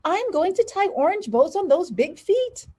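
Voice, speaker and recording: Woman playing a high-pitched, playful ballet teacher. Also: very animated, moderate pace, dark tone. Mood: playful teasing.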